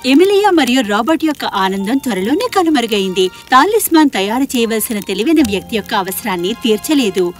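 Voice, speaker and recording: A woman narrating a scripted children's fairy tale in an expressive storytelling manner. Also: on the high side, fairly quick, faint music behind.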